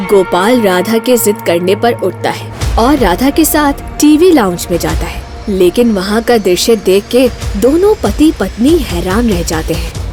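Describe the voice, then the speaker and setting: A woman narrating an animated horror story in a storytelling style. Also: mid-range voice, measured pace, faint music behind.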